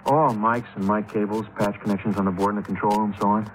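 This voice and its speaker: An American actor playing a polite, unassuming studio technician who is evasive, in a 1950s radio drama. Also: male voice, lowish voice, little pitch movement, fast talker, natural voice, poor audio. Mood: polite, casual. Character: deferential male studio technician hiding his guilt. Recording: vintage 1950s radio broadcast recording.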